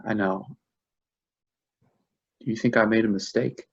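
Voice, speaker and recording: A man acting a shaken, confused husband in grief in a staged play reading, speaking haltingly and repeating himself. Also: on the low side, fairly even intonation, husky, muffled recording. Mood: subdued, anxious. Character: shaken, confused husband grieving a lost pregnancy.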